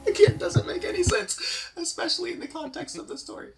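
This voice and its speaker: A man's voice: very high voice, a little fast, creaky, faint music behind. A man with an easygoing, encouraging manner, chatting casually as he directs an amateur script read. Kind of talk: casual chat between readings.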